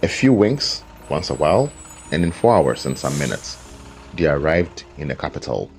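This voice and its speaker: A man with an extremely deep voice narrates a folktale from a script. His delivery is measured and dramatic, in the manner of a storyteller. Faint guitar music plays behind him. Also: big pitch swings, a little mellow, low-quality recording. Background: background music with guitar.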